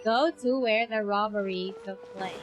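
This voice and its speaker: A woman's voice: medium pitch, moderate pace, over music. A woman with a Filipino accent giving casual, playful live commentary as she plays a game, reacting and muttering to herself. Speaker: game streamer.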